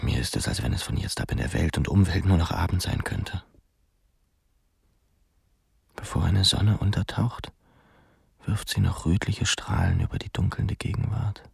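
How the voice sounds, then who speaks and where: An actor playing a reflective pupil at a servants' school, narrating a radio drama sorrowfully in a hushed, halting, solemn way. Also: male voice, low-pitched, creaky, breathy edge, no echo, studio quality, heavily acted. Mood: mournful.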